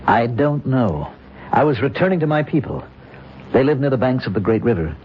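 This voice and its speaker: Halting, bewildered scout, acted by a man, muffled radio. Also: mid-range voice, sing-song, natural voice. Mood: bewildered, pleading. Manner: halting, solemn, searching for words. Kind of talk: old-time radio drama acting. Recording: muffled, hissy old radio recording.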